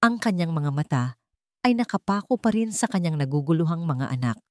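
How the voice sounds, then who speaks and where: A woman narrating a scripted family drama in a measured, suspenseful way, phrase by phrase. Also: deep voice, lively intonation, no echo, clean studio sound. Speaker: story narrator.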